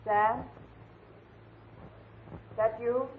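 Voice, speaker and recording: An actress playing an anxious, pleading femme fatale in a melodramatic 1940s radio detective drama. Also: female voice, on the high side, steady tone, extremely slow. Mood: frightened, searching. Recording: tinny, faintly noisy 1940s radio recording.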